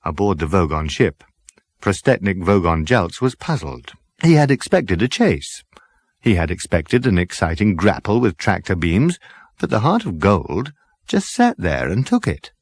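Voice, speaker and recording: A man reads a comic sci-fi audiobook. His voice sits a little low and moves with lively, expressive intonation. The sound is dry and close, the delivery dramatic and scripted. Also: a little slow, husky, dry recording. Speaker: audiobook narrator.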